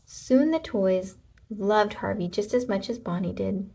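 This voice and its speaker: A woman narrating a children's storybook aloud in an expressive way, with deliberate dramatic emphasis. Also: mid-range voice, slight background noise.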